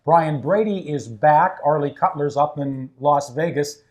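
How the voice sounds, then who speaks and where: A man's voice: a little high, a little slow, darkish tone. Chatty upbeat man hosting. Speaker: team broadcaster and host. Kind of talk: casual sports interview. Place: coach's office at an ice rink.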